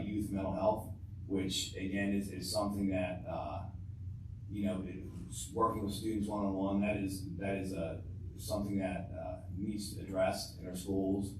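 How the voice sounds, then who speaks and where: A man in his fifties answering as a school board candidate, halting with many uhs and repeated words. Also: deep voice. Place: school board meeting room. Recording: very echoey room from far off, noisy.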